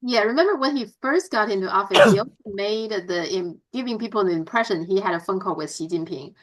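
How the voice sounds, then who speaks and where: A woman hosts a casual political interview. Her voice sits at a medium pitch, and she phrases her questions and remarks informally and a little tentatively. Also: fast, rough recording.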